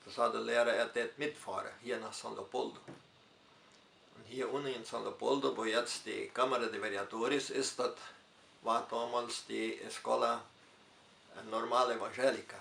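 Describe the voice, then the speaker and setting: Older male pastor, Hunsrückisch German accent, gravelly voice, reverberant room. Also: mid-range voice, fairly even intonation, a bit bright, a little hiss or hum. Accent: Hunsrückisch German of southern Brazil. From southern Brazil. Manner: unhurried storytelling with dialect forms and hesitations. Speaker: retired pastor interviewee. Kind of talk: personal life story in interview.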